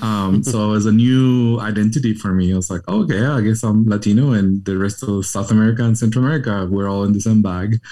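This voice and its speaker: A man in his thirties from Chile, speaking English with a Chilean Spanish accent, telling his story as a guest in a reflective way with frequent pauses. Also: fairly low pitch, mellow. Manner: reflective, measured, with frequent ums. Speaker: hospital chaplain, guest. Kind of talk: conversational interview.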